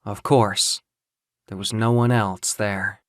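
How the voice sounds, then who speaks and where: A man's voice: fairly low pitch, little pitch movement, slow, no echo, clean studio sound. A man narrating a scripted story in a theatrical, suspenseful way.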